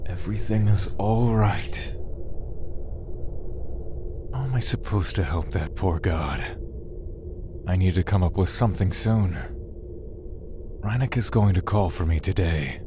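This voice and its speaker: An actor playing a brooding, aloof god in an audio drama, wary yet curious, with formal, archaic phrasing. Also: male voice, sits low, measured pace, pauses often, noisy background. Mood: intrigued, soft.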